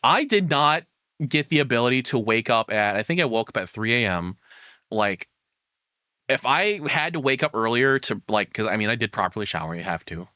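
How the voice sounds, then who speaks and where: A man's voice: mid-range voice, lively intonation, normal speed, pushed and bright, no echo, studio quality. A young man giving casual, opinionated reaction commentary, exclaiming and swearing as he goes. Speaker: reaction video host.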